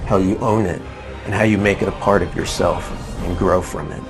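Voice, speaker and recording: A man talks as a game developer in a promotional interview. His voice is on the low side, and music is clearly heard beneath it. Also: fairly even intonation, normal speed.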